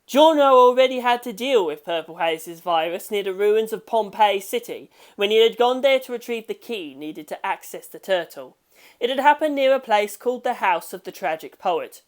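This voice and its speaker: A woman's voice: medium pitch, animated, moderate pace. A woman reading a scripted dramatic story as its narrator. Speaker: audiobook narrator of fan fiction.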